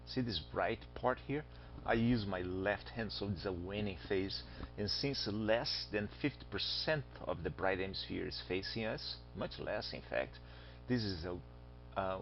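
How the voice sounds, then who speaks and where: Gravelly Brazilian-accented male instructor. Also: lowish voice. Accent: Brazilian Portuguese. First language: Portuguese. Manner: chatty, asks students questions, jokes aside. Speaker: astronomy instructor. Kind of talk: screen-recorded lecture. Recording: dull lo-fi voice recording.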